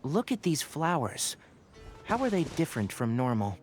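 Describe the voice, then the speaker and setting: An actor playing a calm, learned young male forest ranger and mentor in a video game, speaking in a measured, articulate way. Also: on the high side, faint music behind. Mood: thoughtful, explanatory. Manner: measured, articulate, scholarly explaining. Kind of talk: scripted video game voice acting.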